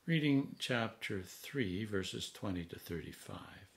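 A man in his sixties, a priest, reading scripture aloud in a measured, solemn manner with deliberate phrasing. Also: fairly low pitch, lively intonation, gravelly. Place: home study, indoors.

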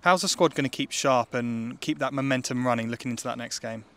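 A man's voice: mid-range voice, fairly quick, fairly bright tone. A man interviewing a football player after a match, asking long, composed questions. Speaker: club media interviewer.

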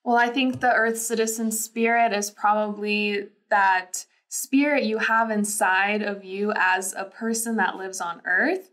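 A young woman from Lithuania co-hosting a podcast, explaining things in a calm, measured way. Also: medium pitch. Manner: calm, measured, frequent "you know". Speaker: podcast co-host. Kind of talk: casual podcast chat. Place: small bright studio room, indoors. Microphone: desk microphone.

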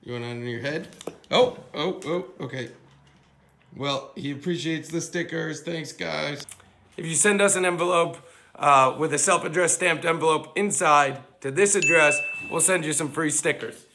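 A young man from Cape Cod, hoarse from a cold, chatting casually as a vlog host into a handheld camera and talking to his dog. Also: fairly high pitch, moderate pace, bright, forceful tone. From Cape Cod, Massachusetts. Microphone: handheld camera at arm's length. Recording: music playing behind the voice.

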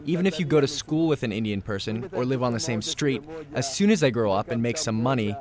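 A man's voice: mid-range voice, animated, fast. A man giving a blunt, assertive opinion in a street interview. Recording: crowd noise behind.

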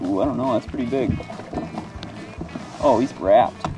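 Young guy fishing out of a canoe, chatty, outdoor cam audio, loud music over it. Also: mid-range voice, unhurried, low-quality recording. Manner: excited, explains tackle plainly. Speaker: angler in canoe. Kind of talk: casual outdoor chat. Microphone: action camera on boat.

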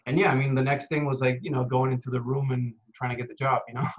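A man's voice: mid-range voice, little pitch movement, quick pace. Laid-back man from Costa Rica on a video call. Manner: laid-back, lots of "dude" and "man", many restarts. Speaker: actor, interview guest. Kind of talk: casual interview chat. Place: home room with window, indoors. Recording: dull lo-fi video call audio.

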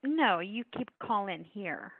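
A woman's voice: mid-range voice, lively intonation, slow, a little hiss or hum. A woman talking firmly to a caller over the phone. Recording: faint narrow-band phone line.

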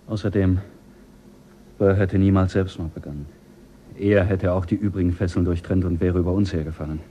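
An actor playing a skeptical, quietly ruthless male astronaut in a radio play, speaking low and measured. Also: fairly even intonation, dull audio, slight background noise, natural voice. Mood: calm.

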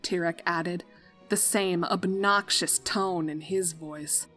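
A woman's voice: on the low side, fairly even intonation, slow pace, fairly bright tone, faint music behind. A woman narrator reading a scripted story.

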